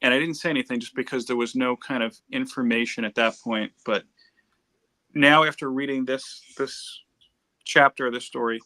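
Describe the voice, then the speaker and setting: A man with a mid-pitched, bright and forceful voice chats as a livestream panelist. He talks casually and tends to ramble into side stories. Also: fairly even intonation, normal speed, no echo. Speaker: panelist on a comics discussion stream. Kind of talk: casual chat. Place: remote group livestream.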